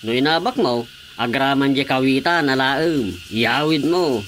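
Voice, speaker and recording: An actor playing a sly, scheming village cockfight gambler in an Ilocano comedy radio drama, with an Ilocano accent. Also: male voice, medium pitch, unhurried, fairly bright tone, a little hiss or hum, lightly acted. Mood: sly, boastful.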